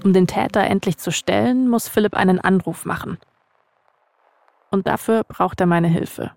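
A woman's voice: mid-range voice, moderate pace, vocal fry, a little mellow, no echo. A woman, narrating a scripted podcast as its host.

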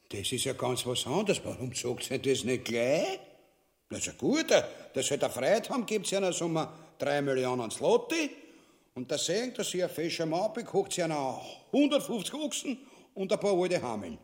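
A gluttonous, cowardly king who hates parting with money. His crude Austrian dialect swings wildly in pitch as he stammers and blusters through a grotesque radio farce. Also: big pitch swings, moderate pace. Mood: placated. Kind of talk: grotesque comedy radio play acting.

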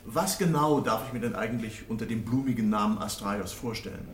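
An actor playing a sarcastic, needling public prosecutor who questions with formal, pointed irony in a radio drama. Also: male voice, on the low side, fairly quick, close to an everyday voice. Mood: sly, probing. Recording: echoey courtroom with clear background noise.

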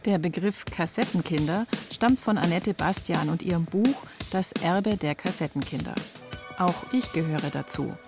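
Lowish female narrator over soft music. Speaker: reporter and narrator. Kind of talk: scripted radio feature narration.

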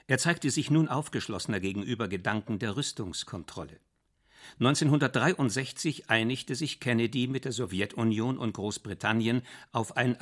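A man reading a history documentary script in a measured, even way. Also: mid-range voice. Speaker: documentary narrator.

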